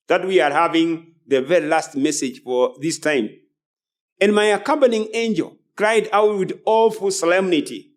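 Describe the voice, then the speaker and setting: A male preacher with a high-pitched voice delivers a sermon. His manner is solemn and declamatory. He leans on repeated phrases and formal, quoted wording. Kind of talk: sermon with read-out quotations.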